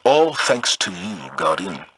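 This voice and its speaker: An actor playing a grave, steadfast military commander in a video game, speaking in a solemn, measured, authoritative way. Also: male voice, low-pitched, sing-song, a bit bright, husky, heavily acted, rough recording. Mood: resolute, commanding. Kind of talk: scripted video game voice acting.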